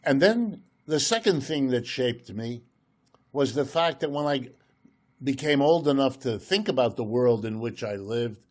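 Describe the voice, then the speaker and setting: Slow, measured older male economist. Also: medium pitch, lively intonation. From United States, Ohio. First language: German. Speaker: guest economist. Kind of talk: radio interview.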